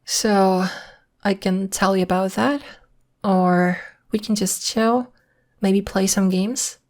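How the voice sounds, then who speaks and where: A woman playing a young, polite and mysterious half-werewolf in an ASMR roleplay, speaking in a gentle, hesitant, earnest way. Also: medium pitch, fairly even intonation, slow, close to an everyday voice. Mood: relaxed. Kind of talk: ASMR roleplay, intimate one-sided acting.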